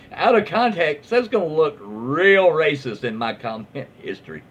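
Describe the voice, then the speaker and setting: A man with a Southern US accent and a husky voice reading online posts aloud in a casual, comedic, easily amused way. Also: a little high, sing-song, measured pace, highs cut off.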